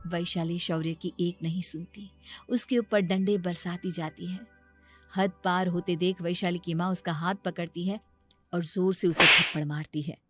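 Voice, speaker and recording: A woman's voice: on the low side, steady tone, moderate pace, music in the background. A woman narrator reading a scripted story.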